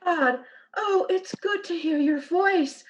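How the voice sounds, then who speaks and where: An actress playing an affectionate, worried wife in an old-time radio drama, with a tender, emotional delivery. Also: female voice, extremely high pitch, takes it slowly, dull audio.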